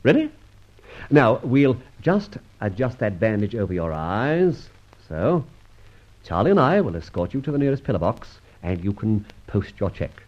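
Radio serial: suave, mock-courteous masked rogue, hugely animated delivery, slightly husky, pompous and teasing. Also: male voice, on the low side, moderate pace. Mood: amused. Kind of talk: old radio serial acting. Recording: dull old radio recording.

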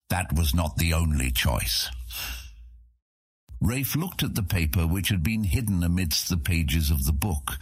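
A man reads a fantasy story in a very deep, husky voice. His measured, dramatic delivery moves expressively up and down in pitch. The sound is close and dry, as in an audiobook. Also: no echo. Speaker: audiobook narrator. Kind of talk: scripted fantasy story narration.

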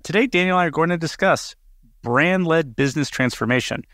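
A man's voice: on the high side, brisk, a bit bright, dry recording, studio quality. A man hosting a podcast, chatty and enthusiastic, often restarting words as he talks. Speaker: podcast host. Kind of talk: casual interview hosting.

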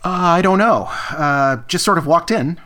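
An actor playing an awkward, hesitant diner manager in a naturalistic audio drama, blunt and a little flustered. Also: male voice, fairly high pitch, moderate pace, sharp tone. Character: awkward, harried diner manager, socially clumsy man. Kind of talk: naturalistic audio fiction acting.

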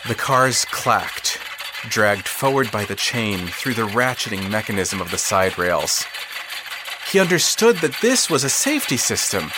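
Male narrator, very expressive mid-range pitch, wry dramatic storytelling, audio fiction delivery, clear ambient noise behind. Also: unhurried. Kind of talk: scripted literary story narration.

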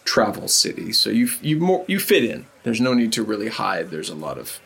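Gravelly, husky male game master. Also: fairly low pitch, very animated, a bit bright. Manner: loose, halting narration with fillers. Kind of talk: narrating a tabletop game.

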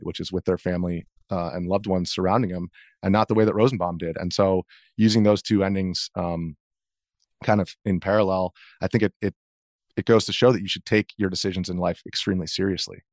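An American man in his thirties giving a reflective, earnest interview account in a measured way, as if thinking aloud. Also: low-pitched, no room sound, clean studio sound. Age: 30s. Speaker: interview guest, video journalist.

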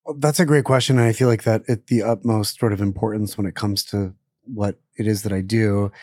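A man's voice: fairly low pitch, steady tone, brisk, darkish tone, no room sound, clean studio sound. A middle-aged man, a chef, talking casually in an interview, rambling with lots of fillers and restarts. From born in Israel, raised in Pittsburgh. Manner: rambling, many fillers and self-corrections.

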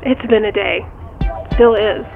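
Harried mom on a tinny phone. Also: female voice, mid-range voice, measured pace, gravelly, over music. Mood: harried, friendly. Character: harried mother on the phone, wrangling kids. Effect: phone call filter. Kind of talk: naturalistic audio drama dialogue. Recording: tinny phone line, faint noise.

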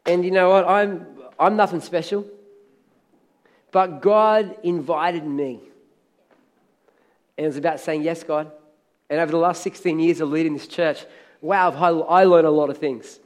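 Hoarse, high-voiced preaching man. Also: unhurried, long pauses. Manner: energetic, emphatic preaching with repeated phrases. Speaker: pastor. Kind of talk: sermon.

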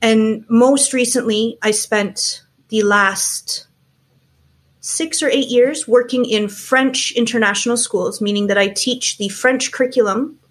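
A woman from Canada in her forties, a teacher being interviewed, explaining things in a measured, frank way. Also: a little high, steady tone. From Canada, small towns around Toronto. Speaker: guest, teacher and school founder. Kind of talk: casual interview chat.

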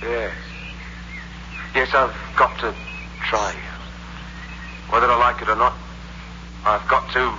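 A man's voice: medium pitch, steady tone, slow, long pauses, a little hiss or hum. A man acting a desperate impostor turned killer, narrating his crimes in a brooding, hard-boiled way in a 1960s radio suspense drama. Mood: cold, menacing. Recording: muffled old radio recording, loud music.

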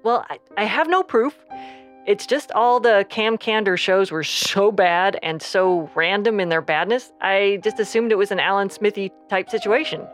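A woman's voice: mid-range voice, normal speed, faint music behind. A woman interviewed as a guest, speaking conversationally in a sheepish, confiding way. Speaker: interview guest, audio drama creator.

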